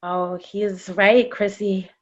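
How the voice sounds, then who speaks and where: A woman's voice: mid-range voice, level tone, slow. Woman as exasperated circus lion tamer, dull audio. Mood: weary, resigned. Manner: blunt, sarcastic. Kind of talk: staged reading of a play. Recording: dull video-call audio.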